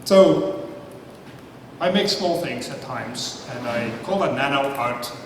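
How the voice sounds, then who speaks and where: A man in his fifties gives a relaxed, self-deprecating talk before a live audience and keeps asking the crowd questions. His voice booms around a large, echoing hall and is picked up from some distance. A clear layer of noise sits under the speech. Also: slow. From Netherlands. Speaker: speaker at a science talk night. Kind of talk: casual public talk with audience banter. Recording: echoey hall, mic from afar, noisy.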